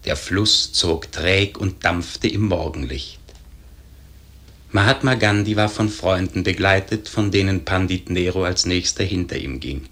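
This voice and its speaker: A man playing a grave storyteller, narrating a literary radio play in measured, formal diction. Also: sits low, fairly bright tone. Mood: solemn, measured. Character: grave male storyteller narrating a historic tragedy.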